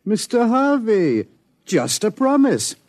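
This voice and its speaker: An actor playing an earnest, formal astronomer with precise, proper diction, in old-time radio drama style. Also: male voice, high-pitched, big pitch swings, slow pace, a little mellow, full character voice. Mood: earnest, desperate. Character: earnest, formal astronomer, anxious scientific gentleman.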